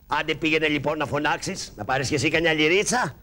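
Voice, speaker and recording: A man's voice: on the high side, pushed and bright. A man voicing a fussy, ingratiating sidekick in a shadow-puppet play, who lectures and gives instructions. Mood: lecturing. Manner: prim, lecturing, giving instructions. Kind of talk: Greek shadow-puppet theatre.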